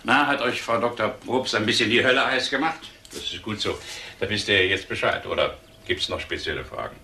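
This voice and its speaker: An actor playing a jovial, plain-spoken older country vet in a TV drama, with an avuncular manner. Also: male voice, lowish voice, brisk, gravelly, pushed and bright, distant mic, a little hiss or hum, heavily acted.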